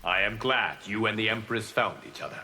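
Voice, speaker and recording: Solemn guardian god, lowish and forceful, formal and cryptic, fighting-game hero. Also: male voice, brisk. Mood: calm, reserved. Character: solemn fire god guardian of Earthrealm, male. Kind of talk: video game voice acting.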